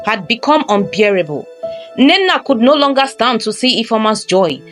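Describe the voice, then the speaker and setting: A woman narrates a folktale as a scripted dramatic story. Her voice sits fairly high and moves with very lively intonation, with faint music underneath.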